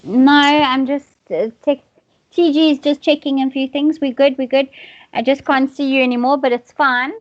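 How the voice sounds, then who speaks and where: A woman's voice: high-pitched, fairly even intonation, moderate pace. South African woman on a dull lo-fi stream. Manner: rambling, self-mocking asides. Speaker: co-host of a live stream. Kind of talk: casual chat.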